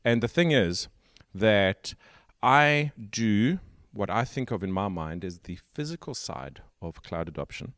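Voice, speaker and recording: A South African man hosts a casual tech podcast chat. His voice sits very low, and he takes his time explaining with fillers. The sound is dry and close, with no room around it. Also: animated, a bit bright, no echo. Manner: explains at length with pauses and fillers. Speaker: podcast host.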